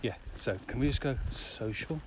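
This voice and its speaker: A man's voice: mid-range voice, moderate pace. Man in his fifties, noisy outdoor recording. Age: 50s. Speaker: podcast co-host, business owner. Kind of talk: casual conversational podcast chat. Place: outdoors at dusk. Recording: clearly noisy outdoor background.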